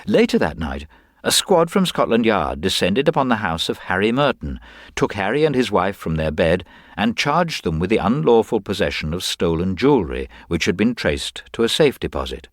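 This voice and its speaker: A man narrates a crime story in a deep voice with wide, lively intonation. The delivery is scripted and dramatic, recorded close and dry. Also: normal speed, no room sound.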